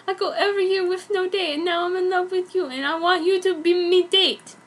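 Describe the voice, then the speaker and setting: A woman's voice: extremely high pitch, steady tone, brisk, voice pushed up. A woman voicing a lovesick, weepy teenage schoolgirl who begs and whines, in a mock-dramatic fanfic reading. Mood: pleading, tearful. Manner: whiny, begging.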